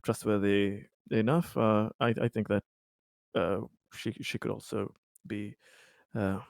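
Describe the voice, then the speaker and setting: A man taking part in a tabletop roleplaying discussion, speaking haltingly and repeating words as he searches for phrasing. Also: on the low side, little pitch movement, creaky, no room sound, studio quality. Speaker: roleplaying game player. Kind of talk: casual game discussion.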